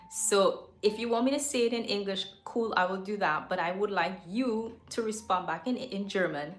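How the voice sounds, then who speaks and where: Woman host, casual livestream chat, candid and rambling, echoey home studio. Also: medium pitch. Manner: rambling and candid, with frequent self-corrections.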